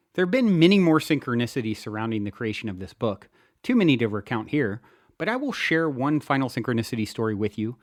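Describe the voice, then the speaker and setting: A man reads his own self-help book aloud as a narrator, with an earnest, expressive delivery. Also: mid-range voice, dry recording, clean studio sound. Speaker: author and show host reading own book. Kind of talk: audiobook narration, first-person self-help.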